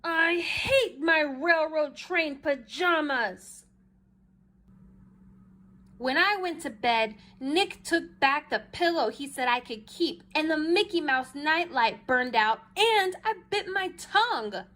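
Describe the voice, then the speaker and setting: A woman reading a children's story aloud with dramatic, emphatic expression and drawn-out phrases. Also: sits high, lively intonation, bright, forceful tone. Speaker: storybook reader. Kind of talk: expressive children's read-aloud in a child narrator's first person.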